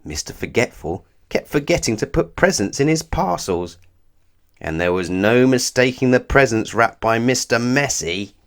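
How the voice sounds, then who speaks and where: A man reading a children's storybook aloud as narrator, with expressive, measured phrasing. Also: mid-range voice.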